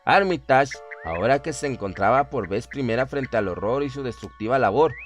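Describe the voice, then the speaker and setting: Solemn male horror narrator, medium pitch. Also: animated, brisk, soft background music. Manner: solemn, dramatic storytelling. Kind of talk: scripted horror story narration.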